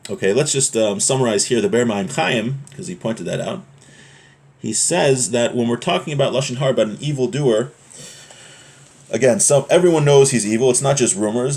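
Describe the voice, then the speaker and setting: A man with a mid-pitched voice teaches a religious class. He explains texts informally, in a conversational manner, and checks that the point is following as he goes. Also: a little mellow. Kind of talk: informal religious lesson, reading Hebrew texts and explaining them.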